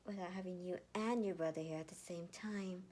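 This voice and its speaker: A woman voicing a warm, doting mother in an amateur story reading. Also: lowish voice, fairly even intonation, brisk, natural voice. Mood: gentle, welcoming. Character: warm, doting mother delighted by her sons. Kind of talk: amateur fanfiction read-aloud. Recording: noticeable background noise.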